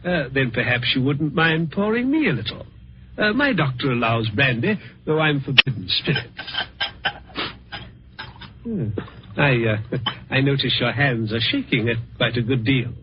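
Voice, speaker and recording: An actor playing a sly, mock-courteous older gentleman plotting murder, in the style of old-time radio suspense. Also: medium pitch, expressive, normal speed, long pauses, close to an everyday voice. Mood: mock courtesy. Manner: mock-courteous, chuckling, savouring his words. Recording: muffled, noisy vintage radio recording.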